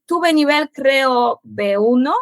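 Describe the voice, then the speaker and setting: A young woman with a Polish accent, her first language Polish, answers interview questions slowly, stopping often to hunt for the next word. Her voice sits a little high and the recording is dry and close, with no room sound. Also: lively intonation, vocal fry, no room sound. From Poland. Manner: halting, pausing often to search for words. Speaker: guest, Spanish teacher.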